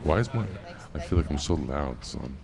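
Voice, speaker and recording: A man with a deep, manly voice co-hosting a live radio show, joking and crooning playfully at his co-hosts. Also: animated, normal speed, a crowd in the background.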